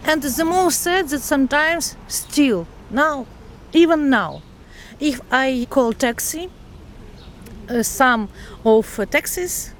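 Young Ukrainian-accented woman, fairly high voice, hesitant non-native English, faint street noise. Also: expressive. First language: Russian. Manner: halting, with fillers. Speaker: interviewee.

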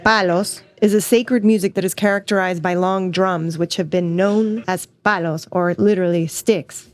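Earnest, low-voiced woman narrating. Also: steady tone, normal speed. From United States. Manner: reflective, earnest storytelling. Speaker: host and narrator. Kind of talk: scripted narration.

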